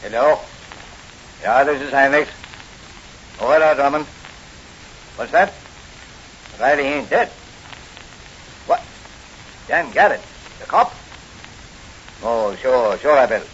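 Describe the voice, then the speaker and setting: Muffled old-radio gangster bar boss. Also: male voice, medium pitch, expressive, takes it slowly, long pauses, fairly bright tone, a little hiss or hum. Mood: eager, obedient. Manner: tough-guy slangy talk. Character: shifty, blustering male gangster bar owner. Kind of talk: 1940s radio acting.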